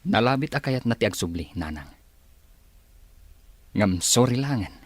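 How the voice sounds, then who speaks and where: A man playing a reasonable, protective family man in a radio drama, speaking in a measured and respectful but firm way. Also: medium pitch, animated, no echo. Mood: earnest, respectful. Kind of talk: Ilocano radio drama acting.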